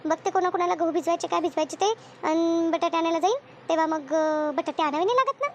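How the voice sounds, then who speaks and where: A woman plays a bustling village housewife in a cartoon comedy, very high and quick. She rambles and scolds like a caring, bossy neighbour in a rural Maharashtrian accent. There is faint noise behind her. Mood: planning, musing. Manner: chatty, scolding, rambling rural homemaker talk.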